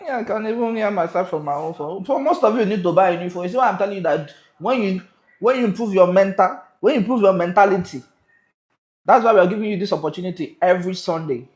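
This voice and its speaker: A man with a Nigerian accent hosting a livestream, chatting to viewers in a lively way and slipping into pidgin. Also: high voice, fast, echoey room, poor audio. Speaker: live stream host.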